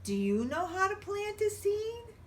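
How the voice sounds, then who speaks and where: A woman's voice: extremely high pitch, sing-song, a little slow, voice pushed up, heavily acted. A woman voicing an eager, curious young male cat in a storybook, with childlike, wondering questions.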